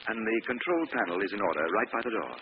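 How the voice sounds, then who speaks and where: Man acting an anxious professor, fast and animated, old radio. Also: on the low side, lightly acted. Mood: uneasy. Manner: anxious, hesitant, excitable. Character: nervous, gullible male professor and inventor. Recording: muffled, noisy old radio recording.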